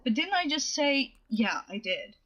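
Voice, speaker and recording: A woman's voice: high-pitched, big pitch swings, unhurried, a bit bright, muffled recording. A woman delivering opinionated commentary in a video.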